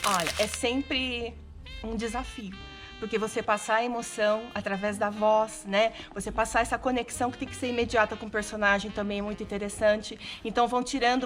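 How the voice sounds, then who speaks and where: A woman's voice: a little high, fairly bright tone. A Brazilian woman, a voice actress, talking casually about her work in an interview. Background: background music.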